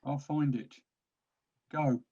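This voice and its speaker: A man's voice: mid-range voice, fairly even intonation, takes it slowly, vocal fry, warm tone, slightly rough, exaggerated voice. A man playing an evasive but determined orc captain in a fantasy comedy table read, with dull, muffled sound. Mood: firm, resolved.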